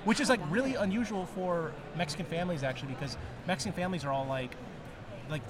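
A man chatting in a rambling, laughing, animated way with lots of fillers as a podcast guest. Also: sits high, quick pace. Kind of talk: casual chat. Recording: crowd noise behind. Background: crowd chatter.

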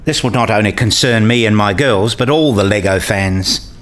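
A man's voice: fairly low pitch, normal speed, fairly bright tone, close to an everyday voice. A man playing a curious, self-deprecating researcher and father in a scripted radio play, also narrating it.

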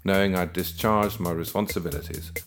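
A man narrates his own written story in a dry, wry storytelling manner. His voice sits extremely low and deep. Guitar music plays clearly behind him. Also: a little fast. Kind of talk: scripted first-person narration.

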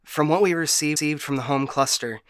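A man's voice: a little high, moderate pace, fairly bright tone, dry recording, studio quality, close to an everyday voice. A man playing a broken, paranoid ship AI in a science fiction audio drama, stammering and getting stuck repeating words. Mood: halting, scheming. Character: frightened, broken male ship AI, paranoid and unstable.

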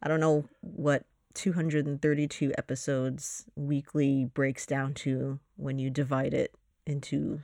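A woman's voice: very deep voice, flat delivery, measured pace, gravelly, hardly any pauses, a little mellow, no room sound, studio quality. A woman co-hosting a casual film podcast, teasing and blunt, laughing often. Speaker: podcast co-host.

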